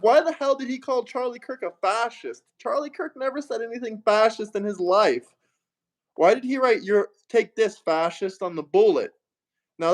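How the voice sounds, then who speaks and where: A man hosting a livestream, arguing heatedly and mockingly with a caller and asking viewers for super chats. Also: very high-pitched. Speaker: livestream host. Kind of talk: heated political livestream debate.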